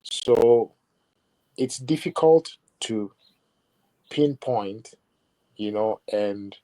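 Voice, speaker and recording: Measured, reflective man with a dark tone. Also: lowish voice, low-quality recording. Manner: reflective, measured, with many "you know" asides. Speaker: musician guest. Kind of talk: interview conversation.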